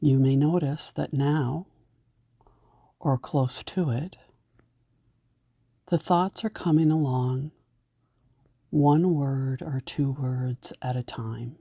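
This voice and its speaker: Slow, low-voiced woman guiding meditation. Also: fairly even intonation, husky, no room sound, studio quality. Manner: gentle, unhurried, soothing instruction. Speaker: meditation guide. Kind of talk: guided meditation narration.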